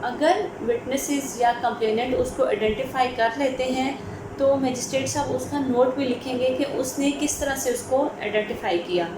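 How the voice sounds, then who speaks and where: A woman with a Pakistani accent explains law in an informal lecture style, dropping in English terms. Her voice sits fairly high. The recording is very echoey, with clear background noise. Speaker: advocate teaching law.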